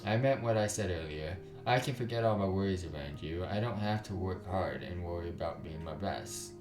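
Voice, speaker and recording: A man's voice: sits low, moderate pace, lightly acted. A man voicing a guarded, sardonic young woman with emotional delivery in a visual novel. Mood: hurt. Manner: snarky, emotional. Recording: roomy echo over guitar music.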